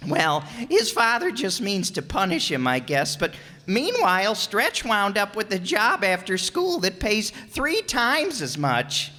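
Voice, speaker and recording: A woman's voice: medium pitch, huge pitch swings, unhurried, bright, forceful tone, heavily acted. A woman playing an eager, naive teenage schoolboy in an old-time radio comedy, with an earnest, chatty, folksy delivery. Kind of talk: 1940s radio comedy acting. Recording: very echoey hall stage recording.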